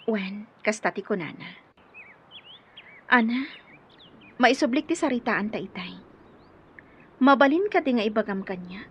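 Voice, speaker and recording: A woman playing a curious, lively young daughter in a radio drama, with a Filipino accent. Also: fairly high pitch, measured pace, long pauses, husky. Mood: curious, puzzled. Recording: dull, faintly noisy radio recording.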